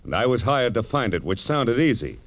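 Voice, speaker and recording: A man's voice: mid-range voice, sing-song, normal speed, no echo. An actor playing a tough-as-nails private eye, narrating his case dramatically in 1940s radio style. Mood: grim, dramatic. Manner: hard-boiled, dramatic narration. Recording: old 1940s radio recording, faint noise.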